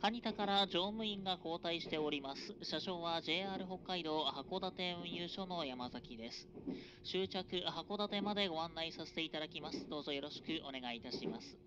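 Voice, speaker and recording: A man announces stops and connections over a train's PA in a formal, drawn-out cadence. The sound is dull and muffled, as if picked up from a seat. A loud rumble of the moving train sits behind him. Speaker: train conductor. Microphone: train PA system recorded from a passenger seat. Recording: dull, noisy onboard PA recording.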